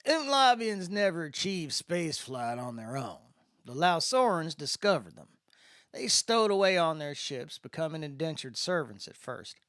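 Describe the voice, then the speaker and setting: A man's voice: on the high side, sing-song, moderate pace, a bit bright, dry recording, studio quality, voice pushed up. A man voicing an easygoing, folksy spacefarer with a drawl in a dramatic story reading. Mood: amiable, knowing. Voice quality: Southern-style drawl.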